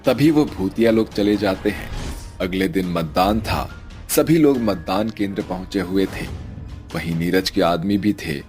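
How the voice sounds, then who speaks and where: A male storyteller narrates a cartoon tale from a script. His voice sits fairly low, and light music runs underneath. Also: measured pace, a little mellow.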